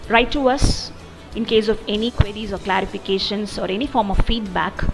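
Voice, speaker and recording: A woman narrating an explanatory software demo in a methodical, step-by-step way. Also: medium pitch, brisk, vocal fry. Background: background music.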